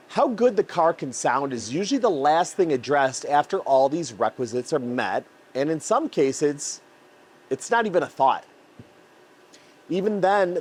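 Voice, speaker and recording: A man hosting a technical explainer as a custom exhaust builder, speaking in articulate, emphatic phrases like a prepared narration. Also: a little high. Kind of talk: semi-scripted explainer narration on car engineering.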